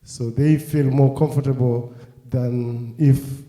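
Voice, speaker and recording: A man with a very dark, mellow voice gives a steady, explanatory answer on a conference panel. The sound echoes around a big hall. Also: medium pitch, slow pace.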